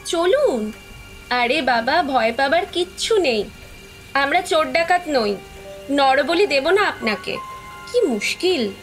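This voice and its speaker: A woman's voice: high-pitched, lively intonation, takes it slowly, long pauses, soft background music, low-quality recording. A woman playing a sweet, hospitable young village woman in an audio horror story, speaking politely with a coaxing, playful edge. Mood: coaxing, teasing. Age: young adult.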